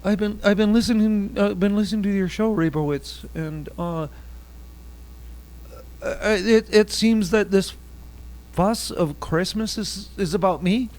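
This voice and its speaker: A man playing a mild-mannered Jesus in a comedy radio bit, who speaks softly and hesitantly and stammers calmly. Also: high-pitched. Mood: placid. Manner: soft, halting, hesitant and placid. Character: mild-mannered Jesus, gentle carpenter and would-be rocker.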